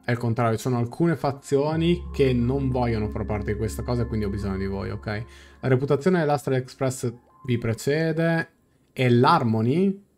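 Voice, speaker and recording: A man's voice: medium pitch, moderate pace, darkish tone. A young man casually translating game dialogue aloud and musing as he goes, in a live reaction stream. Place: small indoor room. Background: game music.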